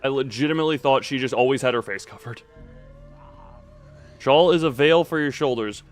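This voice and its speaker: A man's voice: medium pitch, moderate pace, soft background music. A man doing casual live video game commentary, rambling playfully to his viewers and repeating himself as he exclaims.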